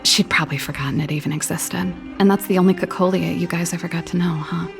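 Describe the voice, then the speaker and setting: A woman voicing a spirited, wistful young elder sister who invents and plays music in a video game, with a breezy, expressive delivery. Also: lowish voice, quick pace, vocal fry. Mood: wistful, regretful. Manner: breezy, expressive, with sighs and trailing reflection. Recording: studio voice over background music. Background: game background music.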